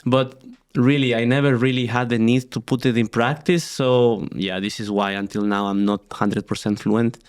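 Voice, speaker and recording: A man's voice: medium pitch, fairly even intonation, moderate pace, hardly any pauses, smooth. A man guest talking casually in an interview, easygoing and peppered with "you know".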